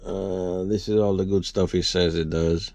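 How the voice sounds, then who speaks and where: A man giving a casual, unscripted how-to for a vlog, rambling and thinking out loud as he works. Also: sits low, level tone, moderate pace, dry recording. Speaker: vlogger showing a DIY truck installation.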